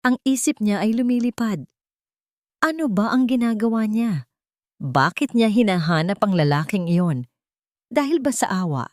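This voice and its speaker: Woman reading a drama story, lowish voice, calm and steady, dead dry sound. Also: dry recording. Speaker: narrator. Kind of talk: scripted narration.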